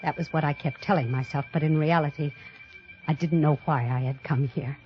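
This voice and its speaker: A woman's voice: sits low, fairly even intonation, close to an everyday voice. Woman acting a restless morbid mystery heroine, intense dramatic narration, old muffled 1950s radio sound, music under it. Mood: brooding, haunted. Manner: intense, dramatic, deliberate repetition. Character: restless, morbidly thrilled woman recounting a dark tale.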